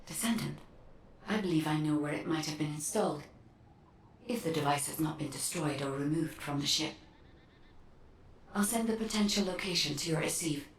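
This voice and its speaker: An actress playing a calm, analytical guide in a video game, explaining in a measured way. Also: female voice, sits low, slight background noise, natural voice. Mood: calm, serious. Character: calm, analytical female guide advising a hero. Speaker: intelligence guide. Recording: echoey, distant, faint background ambience.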